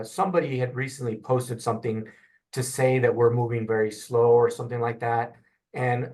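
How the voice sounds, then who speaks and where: A man on a community video call, explaining a plan in an earnest, deliberate way. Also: mid-range voice, darkish tone.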